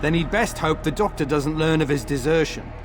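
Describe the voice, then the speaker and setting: A man's voice: fairly high pitch, fairly quick, over music, lightly acted. A man playing a concerned, inquisitive townsman and discreet confidant in a video game. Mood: cautious. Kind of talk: video game cutscene acting.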